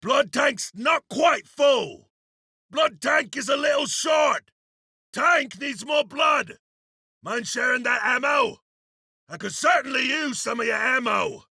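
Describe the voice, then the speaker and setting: An actor playing a seasoned, courteous frontier gunslinger in a video game, with a gravelly, raspy voice and a folksy drawling manner. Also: male voice, very high-pitched, animated, slow, bright, forceful tone, no room sound, raised voice, studio quality, full character voice. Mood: urgent, strained. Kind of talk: video game character voice lines.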